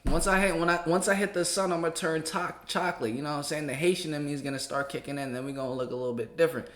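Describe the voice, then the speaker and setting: A man's voice: fairly high pitch, fairly even intonation, very fast, a bit bright. American man with slangy talk, echoey room. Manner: street slang, conversational. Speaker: host of a reaction channel. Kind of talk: casual commentary reacting to an interview.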